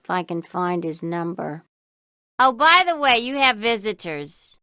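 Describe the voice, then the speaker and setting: An actress voicing a wisecracking, world-weary female office receptionist in a video game, with a deadpan, sarcastic delivery. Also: mid-range voice, unhurried, no echo, studio quality. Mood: bored, businesslike. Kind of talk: scripted video game dialogue.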